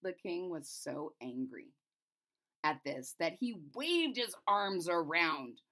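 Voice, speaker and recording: A woman's voice: lowish voice, expressive, slow pace, pushed and bright. A middle-aged woman reading a children's story aloud with dramatic, emphatic expression. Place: small room indoors.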